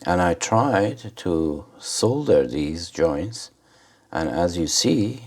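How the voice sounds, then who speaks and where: A man giving an informal hands-on commentary for a repair video, speaking haltingly in short phrases with pauses. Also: low-pitched, expressive, slightly rough. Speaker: host of an electronics repair video.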